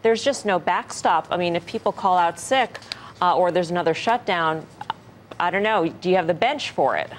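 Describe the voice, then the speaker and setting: Woman interviewer, slightly low, over background noise. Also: moderate pace. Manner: pointed, probing questions. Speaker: TV news interviewer. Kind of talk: live broadcast interview questioning.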